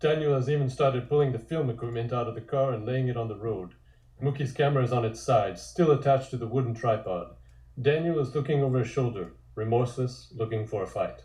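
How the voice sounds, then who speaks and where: A man's voice: medium pitch, a little fast, mellow. A man reading scripted comic narration and stage directions in an echoey room.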